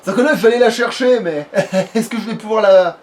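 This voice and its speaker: High-voiced casual male gamer, distant mic. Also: fast talker. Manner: swearing, colloquial, joking. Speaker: let's play gamer. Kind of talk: casual gaming commentary. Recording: distant mic, noisy background.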